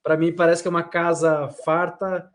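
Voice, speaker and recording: A man's voice: fairly high pitch, level tone, moderate pace. A man from Brazil hosting a live online chat, friendly and chatty, laughing easily. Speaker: host. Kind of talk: casual interview chat.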